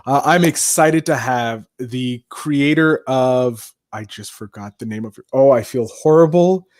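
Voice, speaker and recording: A man's voice: medium pitch, a little slow, darkish tone, dry recording, clean studio sound. A man hosting an interview podcast in an enthusiastic, self-deprecating way. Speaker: podcast host.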